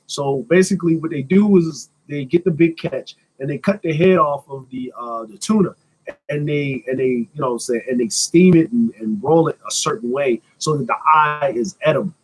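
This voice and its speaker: A man's voice: a little high, expressive, normal speed, slightly rough, poor audio. An American man in his thirties chatting casually as a live stream host, answering questions in a blunt, slangy, joking manner with some swearing. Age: 30s.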